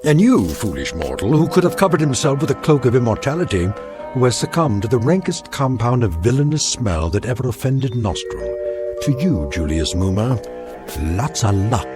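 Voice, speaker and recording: An actor playing a grandiose, haughty William Shakespeare, declaiming pompously in a theatrical radio drama. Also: male voice, mid-range voice, big pitch swings, moderate pace, music in the background, close to an everyday voice. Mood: scornful.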